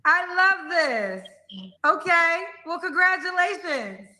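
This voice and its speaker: High bright-voiced lady hosting a live show, bubbly, asks lots of questions. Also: animated, slow pace. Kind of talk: interviewing callers.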